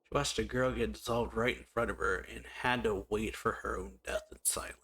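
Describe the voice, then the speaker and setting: A man hosting a let's-play, casually commenting and slowly reading game text aloud, with frequent musing hums. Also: fairly low pitch, steady tone, gravelly, bright, forceful tone, slight background noise. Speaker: gameplay channel host.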